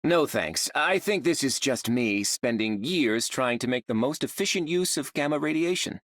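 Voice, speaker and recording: A man plays a self-deprecating scientist hero for a game. His medium-pitched voice is wry and conversational, recorded dry. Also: lively intonation, moderate pace, a bit bright, no echo, lightly acted. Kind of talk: video game character voice lines.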